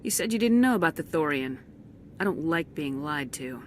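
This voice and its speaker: A woman's voice: low voice, animated, normal speed. Tough space commander lady in a video game, firm and bossy, bit of background noise. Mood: stern. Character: resolute woman military commander in space. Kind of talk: dramatic video game acting.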